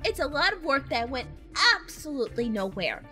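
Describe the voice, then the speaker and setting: Cartoon girl, played by a woman: high, bright, sarcastic, very animated, faint music behind. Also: brisk, heavily acted. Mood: critical. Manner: exasperated, snippy. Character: sarcastic, easily embarrassed opinionated young girl. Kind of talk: cartoon parody voice acting.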